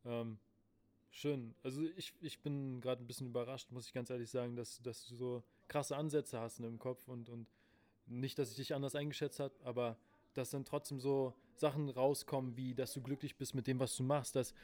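A man's voice: mid-range voice, steady tone, normal speed, a little mellow. A man hosting a casual podcast chat, talking in slang and readily agreeing with and backing his guest. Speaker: podcast host.

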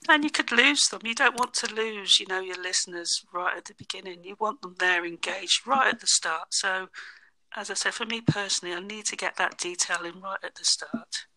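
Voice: medium pitch, normal speed, pushed and bright, poor audio. A guest on a podcast discussion, speaking emphatically about personal experience.

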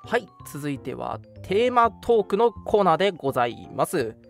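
A man's voice: fairly high pitch, sing-song, normal speed, gravelly, faint music behind. A young man hosting a casual radio chat, speaking in a polite presenter's way and often breaking into laughter. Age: young adult. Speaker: radio show host.

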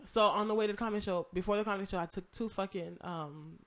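A hoarse podcast co-host who is losing their voice to a cough. They tell rambling stories full of swearing in easy, casual chat, and their voice sits bright and forward. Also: medium pitch, fast, a little hiss or hum. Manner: profane, rambling storytelling.

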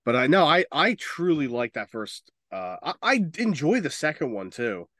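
A man's voice: a little high, big pitch swings, moderate pace, fairly bright tone, no room sound, clean studio sound. A man co-hosting a podcast in casual, opinionated chat, speaking informally and with emphasis. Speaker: podcast co-host.

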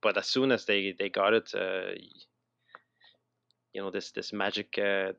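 A middle-aged man with a Quebec French accent speaks in a low voice with a gravelly fry. He talks reflectively as an interview guest, stopping and restarting phrases as he goes. The recording is dry and slightly dull. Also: flat delivery, fairly bright tone. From Montreal, Canada. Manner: halting, repeats words mid-sentence. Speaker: interview guest, educator and designer. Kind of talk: casual interview, reflective storytelling.

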